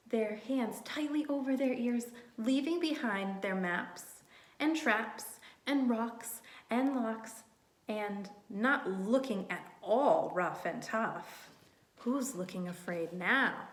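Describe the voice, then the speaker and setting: Woman storyteller for young kids: unhurried, expressive, question-asking, slightly high voice, roomy recording. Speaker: children's storybook reader. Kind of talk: read-aloud for young children. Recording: roomy, echoing sound.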